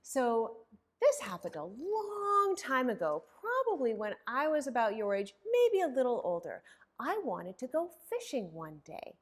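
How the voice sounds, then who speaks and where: A woman's voice: a little high, very animated, unhurried. A woman hosting a children's story time, speaking in a singsong, encouraging way with simple words and an exaggerated, dramatic delivery. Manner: simple, encouraging talk aimed at young children.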